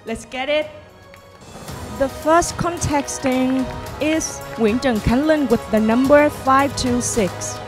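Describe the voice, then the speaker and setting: A woman's voice: high voice, takes it slowly, over music. A woman hosting a pageant event in English, announcing names and rules in a scripted way. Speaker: pageant host.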